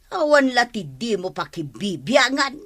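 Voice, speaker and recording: An actress with an Ilocano accent playing a nagging, exasperated wife who scolds in a radio drama. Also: female voice, fairly high pitch, big pitch swings, a little slow. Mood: scolding.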